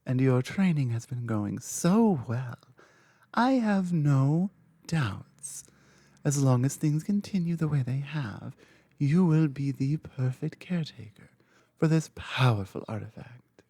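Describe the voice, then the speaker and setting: An ancient dragon queen, played by a woman, dominant and cryptic, who speaks slowly and lets her mellow voice rise and fall in lively swoops before sinking into soft whispers. The close, dry recording keeps every breath near the ear in this ASMR roleplay. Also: extremely low pitch, no echo, exaggerated voice. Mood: cryptic, commanding. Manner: slow, cryptic, commanding, drifting into soft whispers.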